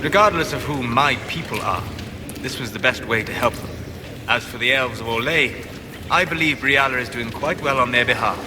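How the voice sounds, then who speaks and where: An actor playing a calm, scholarly elven mage in a video game, speaking in a measured, formal and articulate way. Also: male voice, medium pitch, lightly acted. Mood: composed, wry. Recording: game audio with background noise.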